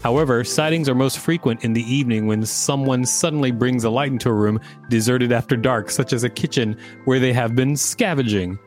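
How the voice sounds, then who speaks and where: Male podcast co-host, playful reader with mid-range pitch, faint music underneath. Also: brisk, few pauses. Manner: playful, joking. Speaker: podcast co-host reading encyclopedia articles. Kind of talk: read-aloud narration with casual banter.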